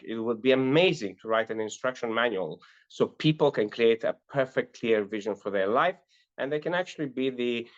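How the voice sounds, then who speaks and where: A middle-aged man from North Macedonia, a life and business coach, earnestly telling his life story as an interview guest, often pausing on "uh". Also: mid-range voice, highs cut off. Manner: earnest, with frequent "uh" fillers.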